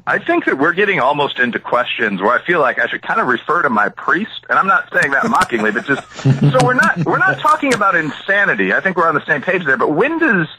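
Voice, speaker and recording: Male author guest from Chicago, very bright husky tone, lively swings in pitch, thinks aloud. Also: a little fast, hardly any pauses, poor audio. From Chicago area, USA. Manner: expansive, thinks aloud with frequent asides. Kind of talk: conversational interview answers.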